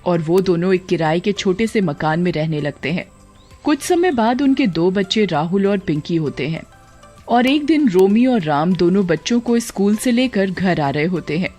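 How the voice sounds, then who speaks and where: A woman's voice: on the low side, expressive, normal speed, mellow. Woman narrating a cartoon story over music. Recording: background music.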